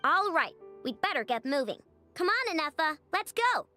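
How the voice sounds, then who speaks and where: Very high, squeaky fairy companion. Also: female voice, sing-song, moderate pace, pushed and bright, soft background music, exaggerated voice. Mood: urging. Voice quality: childlike, squeaky. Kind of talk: video game voice acting.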